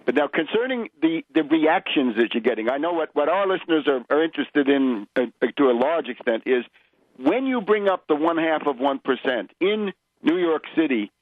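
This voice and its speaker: Male political talk radio host, fairly high voice, explanatory and measured, dry but muffled audio. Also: animated, no echo.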